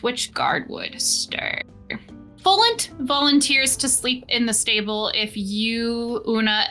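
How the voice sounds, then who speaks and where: A woman narrating as game master in a casual tabletop session, swearing and tossing in quick asides. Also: mid-range voice, bright, forceful tone.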